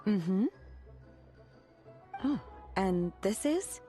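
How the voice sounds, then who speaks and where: Reserved young game waitress, polite n measured, mid pitch w/ lots of lilt, faint music. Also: female voice, lively intonation, warm tone. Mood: polite, businesslike. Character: serious, composed young woman, reserved disciple working as waitress. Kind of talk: video game voice acting.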